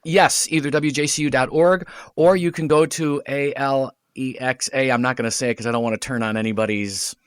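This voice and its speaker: A man guesting on a podcast, talking haltingly with many restarts. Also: medium pitch, steady tone, smooth, no room sound, studio quality. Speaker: guest, radio play writer. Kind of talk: casual podcast chat. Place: lives in North Carolina.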